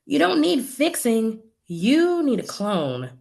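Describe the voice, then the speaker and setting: An actress playing a blunt but friendly doctor in an audio play, with dry, teasing humor. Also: female voice, fairly high pitch, huge pitch swings, takes it slowly, creaky, darkish tone, exaggerated voice. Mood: wry.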